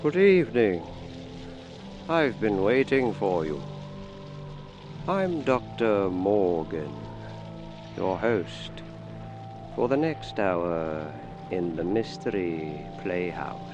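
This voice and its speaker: A man playing a sinister doctor who hosts a radio mystery anthology, deliberate, ominous and theatrical. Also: low-pitched, expressive, dull audio, heavily acted. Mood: suave, ominous. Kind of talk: scripted radio mystery introduction. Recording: radio show with music and rain bed.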